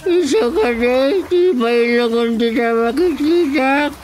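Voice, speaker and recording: A woman's voice: high-pitched, slow pace, a crowd in the background. A woman voicing an anxious, tearful aged grandparent in a Filipino comedy radio drama, with an Ilocano accent. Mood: indignant.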